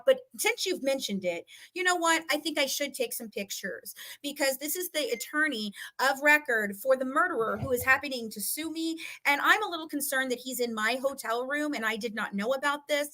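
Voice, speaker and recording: Woman, high forceful voice, animated casual storyteller, emphatic, re-enacts dialogue. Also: poor audio. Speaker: guest recounting a legal dispute.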